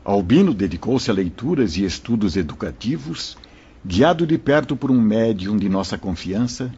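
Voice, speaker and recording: Wise spirit guide, solemn, explanatory, very husky low voice, expressive melody, dull sound. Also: male voice, normal speed, heavily acted. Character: wise kindly spirit mentor explaining to listeners. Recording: muffled lo-fi audio.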